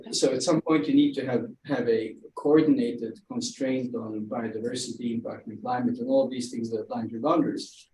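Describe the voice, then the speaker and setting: A man speaking on an academic panel, halting and often repeating his words while he thinks. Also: medium pitch, warm tone. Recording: echoey room, distant mic, faint hiss.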